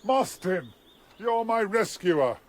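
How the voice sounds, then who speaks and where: An actor with an English accent playing a formidable, witty gentleman barrister in a radio drama, commanding and theatrical. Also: high voice, very animated, slow, full character voice. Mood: genial, amused. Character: formidable, witty gentleman barrister, a doting but teasing father.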